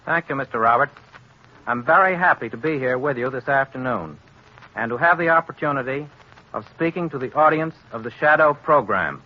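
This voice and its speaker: A man's voice: mid-range voice, brisk. A man with an American accent giving an earnest, formal prepared radio address as a government guest. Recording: muffled 1930s radio recording.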